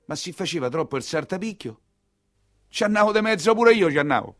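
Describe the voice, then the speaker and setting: Vetturino romano espressivo, voce mobilissima. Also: male voice, high-pitched, a little fast, no room sound, clean studio sound. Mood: wry storytelling. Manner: colloquial, expressive, exclamatory. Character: Ninetto, wary, streetwise 19th-century Roman coachman telling his tale. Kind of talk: theatrical monologue storytelling in dialect. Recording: music behind the voice. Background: music.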